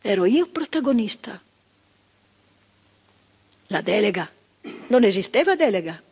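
A proud older retired carer. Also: female voice, mid-range voice, big pitch swings, measured pace, gravelly, darkish tone, breathy edge, exaggerated voice. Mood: reflective. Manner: emphatic, building repetitions, conversational asides to the listener. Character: retired female psychiatric worker, proud, impassioned, reflective. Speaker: stage actress in a monologue. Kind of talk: theatre monologue. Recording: stage recording with long reverb.

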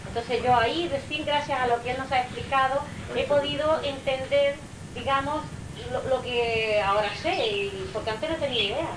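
A woman's voice: high-pitched, normal speed. A woman with a Chilean accent talking casually in a group discussion, often adding little checking tags. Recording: distant mic, roomy, noisy amateur recording.

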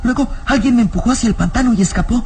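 A woman's voice: a little high, racing, background noise, lightly acted. A woman acting a loyal, wary young boy companion in an old radio serial, earnest and deferential.

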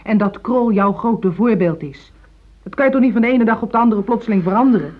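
An actress playing a pragmatic, pushy middle-aged wife, insistent and reproachful, in a radio play. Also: female voice, fairly high pitch, brisk, lightly acted. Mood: reproachful, pressing. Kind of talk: Dutch radio play acting. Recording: thin, phone-like old radio play recording.

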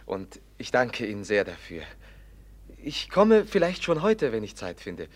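An actor in a radio play playing a gentle, naive young prince, courteous, candid and earnest in his speech. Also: medium pitch, huge pitch swings, a little slow. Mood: polite, earnest. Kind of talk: 1950s German radio play acting.

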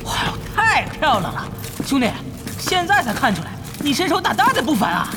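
A man playing a pragmatic, smooth-talking, scheming gamer in a radio drama, ingratiating and calculating. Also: very high-pitched, huge pitch swings, brisk, over music, heavily acted. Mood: flattering.

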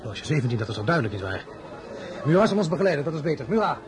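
An actor playing an imperious, slightly awkward emperor in a radio drama, formal and commanding with hesitations. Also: male voice, a little high, big pitch swings, rapid-fire, crowd noise.

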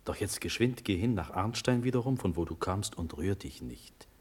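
An actor playing a young, nobly resolute prince in a classical radio drama, declaiming solemnly in measured verse. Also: male voice, low voice, animated, a little mellow, husky. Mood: quiet, resigned.

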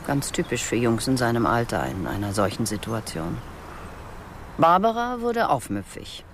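Casting: older aunt, prim, indignant, expressive intonation, radio crime drama realism, slight background noise. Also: female voice, very deep voice, normal speed. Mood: hurt, indignant. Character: older childless aunt, bitter and disapproving about her family.

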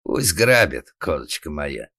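A man's voice: very deep voice, sing-song, unhurried, sharp tone, husky, dry recording, clean studio sound, exaggerated voice. A man playing a henpecked, lecherous police officer in a comic story, cooing and wheedling as he speaks. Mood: amorous cooing.

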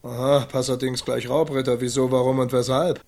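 A man's voice: medium pitch, level tone, a little fast, darkish tone, lightly acted. An actor playing a weary, blunt old crusader in a German radio play, laconic and musing as he grumbles. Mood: grumbling.

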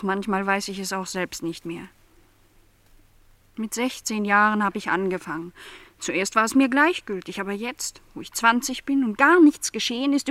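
An actress playing a lonely, discontented young housemaid in a literary radio play, plaintive and questioning in formal wording. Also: female voice, medium pitch, no echo, close to an everyday voice.

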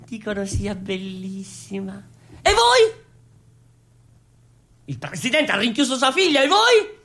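A man playing an excitable, boastful young revolutionary who addresses the crowd with declamatory questions in a comic radio play. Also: extremely high pitch, takes it slowly, full character voice. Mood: astonished, urging. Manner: animated, declamatory, rhetorical questioning. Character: excitable young male revolutionary rebel, boastful wandering storyteller. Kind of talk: comic street-theatre monologue on radio.

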